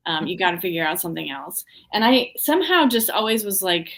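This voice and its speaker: A woman from the Washington, D.C. area talks as an artist guest in a casual interview. Her voice sits a little low, and she speaks reflectively, pausing often with ums while she searches for words. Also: very animated, a bit bright. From Washington, D.C. area, USA. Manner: reflective, hesitant, many ums.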